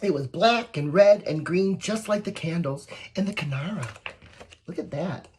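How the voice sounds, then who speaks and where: A woman reading a picture book aloud to young children, expressive and encouraging, with asides to the listeners. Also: on the low side, normal speed, a little hiss or hum. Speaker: volunteer story reader.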